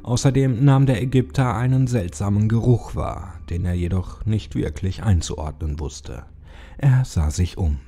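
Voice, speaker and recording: A man narrates a horror audiobook in a low, gravelly voice with a husky edge. His delivery is brooding and suspenseful, with deliberate pauses, over music playing behind him. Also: warm tone. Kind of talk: scripted dramatic audiobook narration of a creepypasta.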